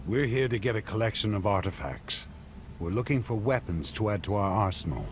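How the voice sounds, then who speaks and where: An actor playing a gruff, hard-bitten male warrior in a fantasy video game, curt and stern. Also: lowish voice, animated, a little fast, a little hiss or hum, natural voice. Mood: commanding. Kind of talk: dramatic video game acting.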